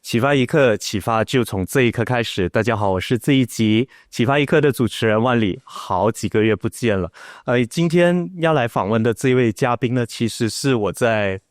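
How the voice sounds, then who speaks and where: A man's voice: medium pitch, expressive, moderate pace, hardly any pauses, no room sound, studio quality. A man with a Malaysian accent hosting a casual, chatty interview. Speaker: podcast host, interviewer.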